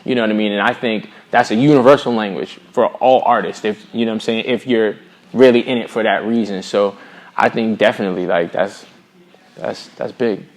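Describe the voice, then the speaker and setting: Casting: American man, middle-aged, lowish voice, earnest, slangy musician chatting as an interview guest. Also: fairly even intonation, brisk. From Boston, USA. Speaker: singer-songwriter, interview guest. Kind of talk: casual interview conversation.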